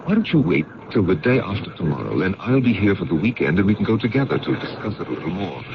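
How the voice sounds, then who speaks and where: An actor playing a sober, earnest investigator in an old-time radio drama, measured and serious. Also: male voice, fairly low pitch, big pitch swings, gravelly, background noise. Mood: reassuring, concerned. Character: sober male investigator narrating his own case, earnest and considerate. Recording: dull old radio recording.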